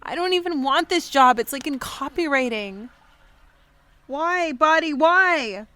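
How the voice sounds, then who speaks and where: A woman's voice: sits high, lively intonation, a little slow, pushed and bright, no echo, heavily acted. An actress in her twenties playing an anxious, candid young woman recording a rambling, self-deprecating audio diary in an audio drama. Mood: agitated, exasperated. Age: 20s. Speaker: lead actor in an audio drama. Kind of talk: confessional audio diary monologue.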